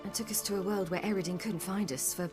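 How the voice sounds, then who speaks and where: An actress playing a guarded young woman recounting her flight to a companion in a video game, measured and matter-of-fact, with music under her voice. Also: mid-range voice, fairly even intonation, natural voice. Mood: calm. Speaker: game character. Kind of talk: recounting a story in dialogue. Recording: game audio over music.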